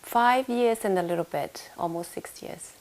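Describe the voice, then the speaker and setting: Slow-talking Thai woman, hesitant. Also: mid-range voice, a little mellow. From Thailand. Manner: hesitant, many fillers and restarts. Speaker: interviewee. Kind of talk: casual oral history interview. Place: home room in London.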